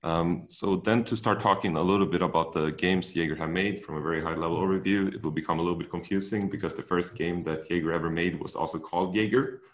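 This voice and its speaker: A young Swedish-accented man presents over a video call, his voice sitting extremely low. He speaks in a relaxed way, with frequent ums and restarts. The sound comes from a small room. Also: quick pace, few pauses. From Sweden. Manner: relaxed, many fillers and restarts. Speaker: technical director giving a talk. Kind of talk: online seminar presentation.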